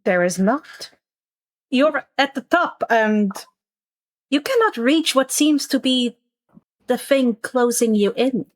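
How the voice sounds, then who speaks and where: A woman's voice: high voice, animated, slow pace, pauses often. A woman narrating a tabletop role-playing game as game master.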